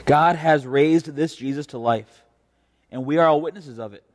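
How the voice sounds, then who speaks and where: A young American man preaching a devotion as a pastor, in a measured, earnest and deliberate way. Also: on the high side. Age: young adult. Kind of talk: devotional preaching with scripture reading. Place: indoor church chancel. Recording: reverberant church, mic at a distance.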